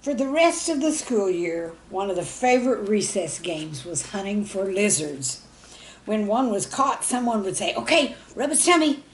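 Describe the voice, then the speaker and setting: A woman telling stories to young children in an expressive, folksy way, speaking to them directly. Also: mid-range voice, unhurried, a little hiss or hum. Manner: folksy, animated, addresses young listeners directly. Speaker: children's storyteller.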